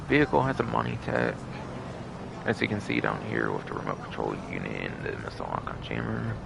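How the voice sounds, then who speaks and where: Very deep, gravelly man streaming games. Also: huge pitch swings, fairly quick, very noisy. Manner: repetitive, often repeating "but yes"; swears often. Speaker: game live streamer. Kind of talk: casual rambling video game commentary.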